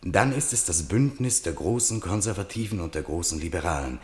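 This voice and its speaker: Very deep-voiced male radio play narrator. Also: animated, fairly quick. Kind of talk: scripted literary narration in a documentary, report-like tone.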